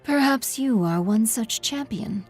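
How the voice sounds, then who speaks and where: A woman playing a solemn, haunted priestess and sculptor in a video game, speaking with measured reverence in a husky voice. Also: a little high, animated, faint music behind. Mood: solemn, reverent. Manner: slow, measured, reverent speech. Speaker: religious character in a video game. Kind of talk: video game character dialogue.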